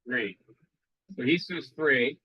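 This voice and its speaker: A man's voice: medium pitch, slow pace, husky, dry recording, highs cut off. A man giving a live demo at an amateur radio club meeting, rambling with frequent restarts and quizzing the audience.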